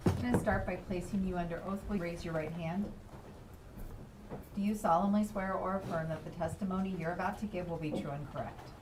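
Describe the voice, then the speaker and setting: Brief: woman judge, formal and measured, low-pitched, heard from a distance with a noisy room. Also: fairly even intonation. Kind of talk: courtroom procedure. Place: courtroom. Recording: distant courtroom mic with noise.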